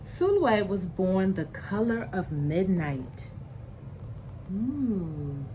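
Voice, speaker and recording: Slow-paced woman reading to children. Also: mid-range voice, very animated, background noise. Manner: slow, deliberate, explains pictures in simple words. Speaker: storyteller reading a picture book aloud to children. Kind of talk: expressive read-aloud with asides to young children.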